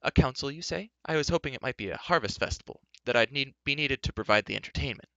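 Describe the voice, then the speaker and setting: A man's voice: mid-range voice, expressive, fast, creaky, fairly bright tone, dry recording, muffled recording, pitched above the performer's own voice. A man voicing a flamboyant, blustering bard and king in a fantasy audiobook, effusive and easily flustered. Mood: sheepish. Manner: effusive, flustered, hesitant boasting.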